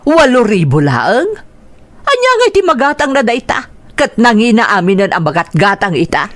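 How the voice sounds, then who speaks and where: A woman's voice: fairly high pitch, big pitch swings, moderate pace, no room sound. A woman playing a cold, belittling mother in a radio drama, sarcastic and dismissive, with an Ilocano accent. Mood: scornful, demanding. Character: cold, scornful, belittling mother of a grown child. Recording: music behind the voice.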